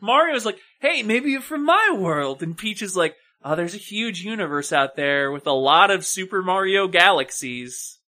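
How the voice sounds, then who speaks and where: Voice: mid-range voice, lively intonation, moderate pace, hardly any pauses, pushed and bright. A podcast co-host giving casual film commentary in a wry, sarcastic and opinionated way.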